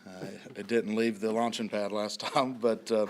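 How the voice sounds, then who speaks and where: A man in his thirties, a high school principal, giving a report at a school board meeting while a little hoarse from a cold, clearing his throat often. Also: little pitch movement, moderate pace. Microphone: podium microphone.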